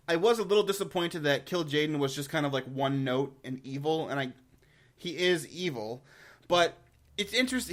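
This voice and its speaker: A man with a fairly high-pitched voice hosts a casual video commentary. He talks informally and with enthusiasm, in a rambling way. He often restarts and repeats words as he works through his thoughts. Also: fairly bright tone. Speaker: host of a game lore review channel.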